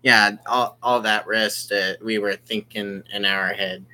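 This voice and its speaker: A gamer reading a visual novel aloud with casual commentary, halting and often explaining who is speaking. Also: sits low, steady tone, sharp tone, low-quality recording. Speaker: gaming video host.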